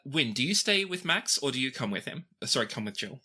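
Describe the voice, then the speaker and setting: A woman game master with a very deep voice narrates an improvised tabletop story. Her delivery is animated and bright. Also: fast, breathy edge.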